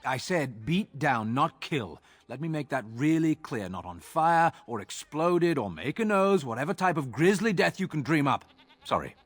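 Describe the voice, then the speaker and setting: An actor playing an exasperated, sarcastic city guard officer in a fantasy video game, grumbling gruffly in a husky voice. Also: male voice, a little high, animated, moderate pace, dry recording. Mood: wry, firm.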